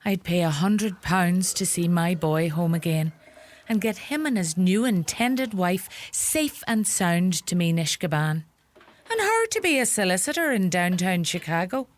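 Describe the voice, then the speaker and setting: Actress as a sharp-tongued Donegal mother, animated voice. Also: fairly low pitch, measured pace. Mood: proud, gossipy. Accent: Donegal Irish. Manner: scolding, animated, gossipy. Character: bossy, sharp-tongued rural Irish mother, fussing and scolding. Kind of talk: radio play acting.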